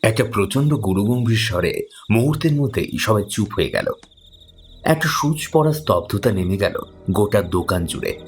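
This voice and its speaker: A man narrating a scripted horror story in a grave, suspenseful manner. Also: fairly low pitch. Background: background music.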